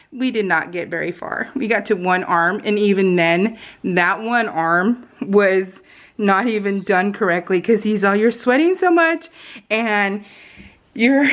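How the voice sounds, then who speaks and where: A woman vlogger telling a nervous personal story in a casual voiceover, laughing often and restarting her sentences. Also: high voice. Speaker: crafting vlogger. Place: home craft room. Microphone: voice-over mic.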